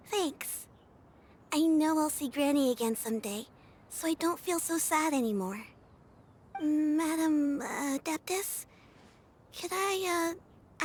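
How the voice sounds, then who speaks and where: A woman voicing a polite, earnest young girl in a video game, speaking in a soft, halting, sincere way. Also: high voice.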